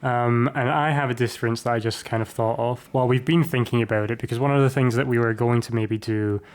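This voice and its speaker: Male podcast co-host, medium pitch, informal film talk with the odd joke. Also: fairly even intonation, fairly quick. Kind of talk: casual film discussion.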